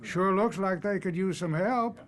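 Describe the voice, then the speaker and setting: An actor playing an earnest, folksy country preacher who quotes scripture in a radio drama. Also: male voice, high-pitched, unhurried, crowd noise. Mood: kindly, earnest. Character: earnest country preacher rallying his congregation to help neighbors. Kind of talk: radio drama acting, sermon.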